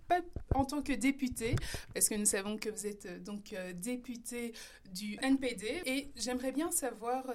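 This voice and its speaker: A woman interviewer asking questions, pausing often with fillers. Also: a little high, darkish tone, a little hiss or hum. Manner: hesitant, many fillers.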